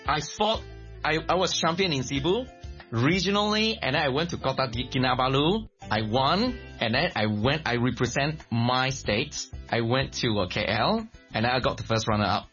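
An animated man from Malaysia tells his story as an interview guest, laughing often and circling back over his words. The audio is slightly dull, with faint music under the talk. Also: medium pitch, moderate pace. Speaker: guest, creative director and songwriter. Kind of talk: casual interview chat.

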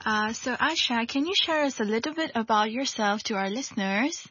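A woman's voice: fairly high pitch, normal speed, pushed and bright, slightly rough, no room sound, muffled recording. A woman hosting a radio interview show, delivering prepared introductions and questions to her guest.

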